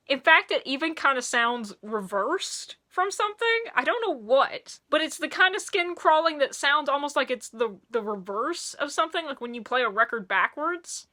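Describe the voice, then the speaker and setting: A woman who is losing her voice hosts a casual song review to camera, gushing and excitable, often restarting her sentences. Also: sits high. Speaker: music reviewer and video host.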